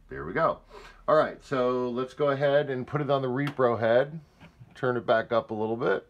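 A man's voice: lowish voice, slight background noise. A man talking casually off screen as he gives a hands-on demonstration of audio gear indoors. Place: indoor room.

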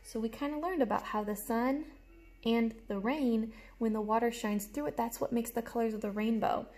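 A young adult woman reading a storybook aloud to children like a teacher, with animated, explanatory asides, recorded in a room at home. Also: fairly high pitch, normal speed. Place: small room at home, indoors. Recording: clear background noise, room ambience.

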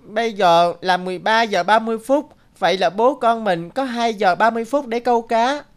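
A woman's voice: a little high, brisk, close to an everyday voice. A woman voicing an eager, impatient young boy in a children's cartoon, childlike and polite.

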